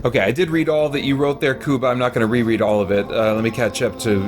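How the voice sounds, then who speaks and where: A man's voice: medium pitch, steady tone, fast talker. A man chatting casually as a game streamer, thoughtful and rambling, often hesitant as he comments. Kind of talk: casual livestream chat and gameplay commentary. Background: game music.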